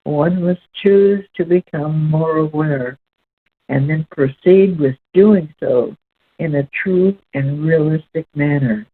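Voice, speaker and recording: A woman reading spiritual text aloud as host, in a measured, deliberate way with halting phrasing. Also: low voice, slightly rough. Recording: muffled, very narrow lo-fi sound.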